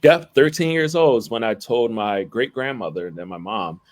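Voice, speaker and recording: A man's voice: mid-range voice, moderate pace. A man who is an Episcopal priest, raised in Philadelphia, answering interview questions in an earnest, storytelling way with small laughs, over a video call. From Philadelphia, family from Barbados.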